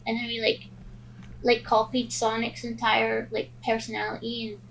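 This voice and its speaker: Higher-pitched, creaky woman chatting casually. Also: monotone, moderate pace, a bit bright, a little hiss or hum, low-quality recording. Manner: hesitant, heavy use of "like". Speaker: podcast host. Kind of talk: casual chat.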